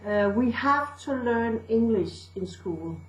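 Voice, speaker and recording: A woman's voice: a little high, animated, takes it slowly, reverberant, low-quality recording. A woman from Denmark with a Danish accent, a storyteller and educator chatting in an interview with fillers and restarts. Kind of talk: casual interview talk.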